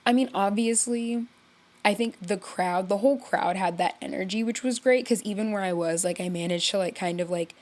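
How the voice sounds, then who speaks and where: A woman's voice: mid-range voice, normal speed. A young woman hosting a podcast, congested from illness, chatting casually and rambling with lots of "like" as she tells a story. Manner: rambling, heavy use of "like", animated storytelling. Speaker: podcast host. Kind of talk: casual solo chat.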